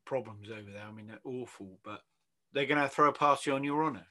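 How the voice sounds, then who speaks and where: A man's voice: medium pitch, fast, pushed and bright. A man hosting a casual interview over a video call, rambling, affirming and teasing as he goes. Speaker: interview host.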